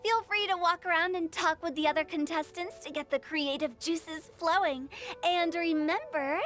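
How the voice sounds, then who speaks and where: A woman's voice: very high voice, normal speed, a bit bright. A woman voicing a playful, theatrical teenage girl hosting a festival in an anime video game. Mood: upbeat. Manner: theatrical, mischievous announcer. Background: game music.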